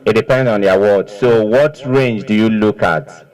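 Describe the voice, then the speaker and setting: Low-voiced Nigerian man questioning suspects. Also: moderate pace, darkish tone, poor audio. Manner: probing, repeats answers back. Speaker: official questioning paraded suspects. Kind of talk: formal public questioning.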